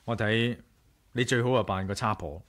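A man's voice: on the low side, normal speed, fairly bright tone, lightly acted. A man with a Hong Kong Cantonese accent playing a curious, decisive adventurer in a 1980s radio drama. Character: determined, curious male adventurer, brooding and decisive.

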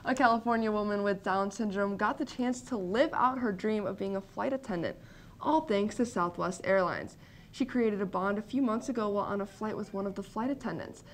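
A woman's voice: mid-range voice, a little fast. A young woman co-hosting a student morning news show in a campus TV studio, reading stories and chiming in upbeat.

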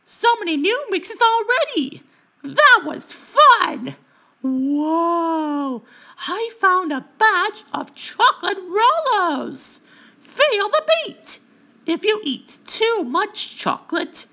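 A woman's voice: very high voice, sharp tone, exaggerated voice. A woman voicing a playful, childlike cartoon color creature who explores eagerly, speaking in short exclamatory catchphrases. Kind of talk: cartoon character voice lines.

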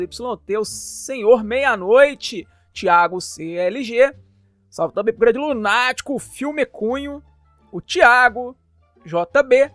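A man's voice: very high voice, a bit bright, dry recording. Playful Brazilian man over music. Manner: jokey, playful, slangy. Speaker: YouTube channel host reviewing games. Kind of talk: casual game showcase voice-over.

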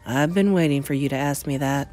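A woman voicing a tender, frank grandmother in her eighties, measured and gentle, in storybook dialogue. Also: sits low, soft background music, voice pushed down, lightly acted. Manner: measured, gentle, matter-of-fact. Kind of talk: acted children's storybook dialogue.

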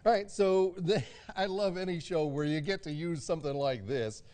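The slightly high-pitched older man on a local afternoon broadcast who jokes his way through a kitchen demo, folksy and casual with his guest. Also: moderate pace, a little mellow. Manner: folksy, jocular. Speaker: TV cooking segment host. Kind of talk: casual on-air interview. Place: TV studio kitchen set.

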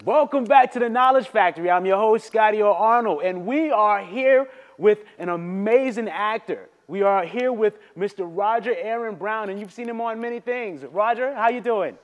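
A man's voice: high-pitched, normal speed, few pauses. A middle-aged man hosting a talk show, hyping the next segment and interviewing a guest in a studio. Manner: energetic, hyped promo delivery. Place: studio set with a large screen.

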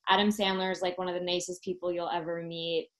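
A woman's voice: on the low side, flat delivery, fast. A woman in her thirties, a talent producer speaking as a guest in a casual video-call interview, friendly and upbeat with many fillers. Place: home room. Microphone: laptop webcam mic on video call.

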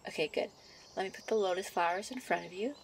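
Woman playing shy young female fairy, soft and coy, easily flustered, mid pitch, ASMR roleplay, birdsong ambience. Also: fast, creaky, a little hiss or hum, close to an everyday voice. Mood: gentle, instructive. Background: birdsong and outdoor ambience.

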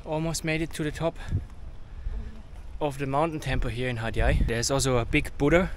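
A man's voice: medium pitch, steady tone, normal speed. Casual vlogger man, music blaring. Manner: chatty, simple English, calls partner baby. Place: outdoors at viewpoints and cafes. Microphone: action camera held at arm's length. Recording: loud music mixed over.

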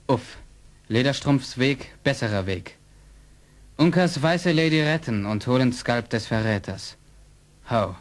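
A brave, proud young Mohican warrior, played by a man in a radio drama. The voice is mid-pitched, delivering solemn, clipped broken sentences. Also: expressive, measured pace, pauses often, close to an everyday voice. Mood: stoic, resolute.

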